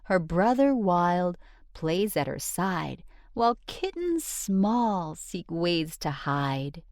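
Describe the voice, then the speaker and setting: A woman reading a rhyming children's book aloud in an expressive, sing-song storyteller's delivery. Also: lowish voice, slow, no room sound, clean studio sound.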